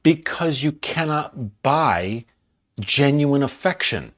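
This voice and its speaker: A man's voice: medium pitch, expressive, slow. A man hosting a reaction commentary, blunt, sarcastic and profane as he picks apart a video. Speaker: dating coach and channel host.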